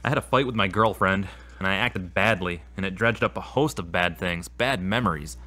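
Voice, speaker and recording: A man's voice: sits low, normal speed, pushed and bright. A man playing a troubled, self-blaming young man in an audio drama, speaking hesitantly and remorsefully. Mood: anxious, downcast.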